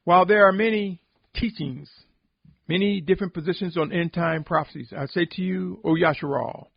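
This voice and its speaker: A man giving a solemn, sermon-like podcast reflection, exhorting deliberately with emphatic repetition in a husky, gravelly voice. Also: high-pitched, dry recording, muffled recording. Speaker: religious podcast host and preacher.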